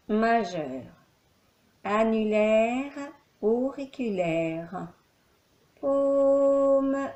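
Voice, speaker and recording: A woman leading a guided yoga nidra relaxation as an instructor, speaking calmly in short, measured phrases with long pauses. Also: on the high side.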